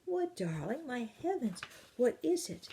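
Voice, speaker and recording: A woman doing a calm comforting mother. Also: high voice, measured pace, slight background noise, pitched above the performer's own voice, heavily acted. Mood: soothing.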